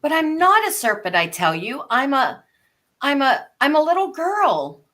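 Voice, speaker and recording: A woman's voice: sits high, sing-song, unhurried, fairly bright tone, heavily acted. A woman voicing a polite, bewildered but plucky little girl in a storybook live read, earnest and prim. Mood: indignant. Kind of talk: live reading of an abridged classic story.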